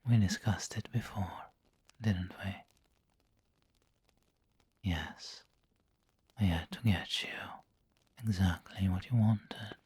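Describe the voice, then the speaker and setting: A man playing a refined, doting vampire lord in an ASMR roleplay, whispering gently and intimately with seductive, protective care. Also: low-pitched, no echo, exaggerated voice. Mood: tender, coaxing. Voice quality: breathy, whispery. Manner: slow, gentle, intimate coaxing.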